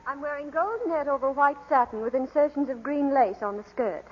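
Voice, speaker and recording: An actress plays a polite, slightly fretful society wife and mother. She speaks high and genteel in 1940s radio drama manner. The recording is muffled, with a long hall-like reverb. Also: fairly quick, lightly acted.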